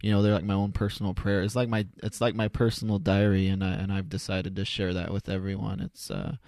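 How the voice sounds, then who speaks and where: A man in his twenties answering casually as a podcast guest, halting and self-effacing, often repeating his words. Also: low-pitched, level tone, vocal fry, darkish tone, no echo, clean studio sound. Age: 20s. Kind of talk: casual, unscripted interview answers. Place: converted garage home studio.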